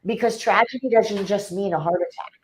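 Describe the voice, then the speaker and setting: A woman's voice: medium pitch, brisk, poor audio. A woman co-hosting a motivational livestream as a wellness coach. She speaks directly and urgently to the viewers.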